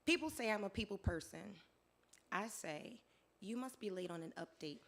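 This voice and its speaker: A hoarse woman performing her spoken word poetry live, in a rhythmic rhyming delivery that swings between soft lines and loud declarations. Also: mid-range voice, animated, normal speed. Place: live venue with audience.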